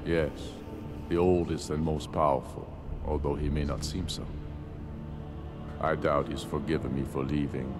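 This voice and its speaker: An actor playing a proud, grave, battle-hardened middle-aged rebel leader in a fantasy video game, speaking in a solemn, measured, oratorical way. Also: male voice, a bass voice, level tone. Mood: grave, reflective. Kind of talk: scripted video game dialogue. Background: background music.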